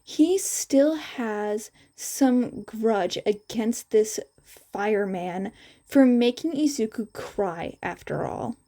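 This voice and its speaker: A woman's voice: fairly high pitch, animated, slow pace, mellow. A woman reading fan fiction aloud as a scripted storytelling narration.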